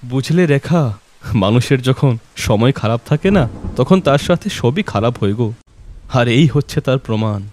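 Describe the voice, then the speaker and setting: A man's voice: mid-range voice, lively intonation, normal speed, a little mellow, slight background noise, lightly acted. A man voicing a poor, luckless potato seller and worried father, lamenting, in a cartoon moral story. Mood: despairing.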